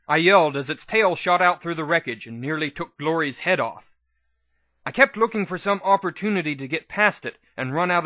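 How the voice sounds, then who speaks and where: A man's voice: on the high side, pushed and bright, no echo, highs cut off. A man giving a dramatic first-person scripted narration as the reader of a fan fiction audiobook.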